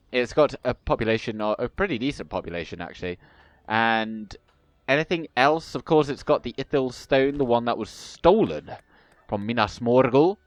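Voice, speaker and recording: Young male game host, stuffy with a cold, smooth voice, chatty and rambling. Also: mid-range voice, dry recording. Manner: chatty, rambling, self-correcting. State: congested with a cold. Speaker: gaming video host. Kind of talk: casual strategy game commentary.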